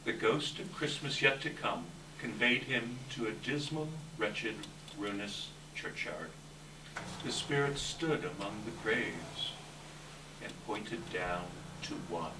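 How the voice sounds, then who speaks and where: Recorded from a distance in an echoing hall with noticeable noise, a low-voiced man narrates a story. His scripted delivery is measured, dramatic and expressive. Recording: distant echoey hall, clear background noise.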